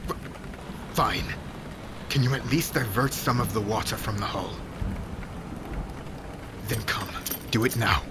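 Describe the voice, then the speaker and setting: A man playing a low-voiced, stern witch hunter. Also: a little slow, long pauses, close to an everyday voice. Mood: urgent, demanding. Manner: commanding, clipped, terse, low intensity breaking into urgent shouts. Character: stern proud male witch hunter, gruff captor, guardedly duty-bound. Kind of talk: ASMR roleplay acting. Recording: loud storm and ambience noise behind voice.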